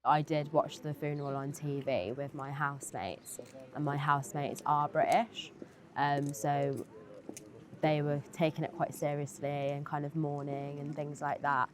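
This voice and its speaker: A young woman speaking reflectively in a documentary interview, pausing often with fillers. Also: deep voice, flat delivery.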